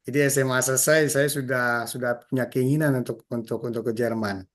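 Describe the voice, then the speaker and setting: Man, hoarse, hesitant, lots of fillers, video call. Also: mid-range voice, steady tone, a little fast. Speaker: association chair.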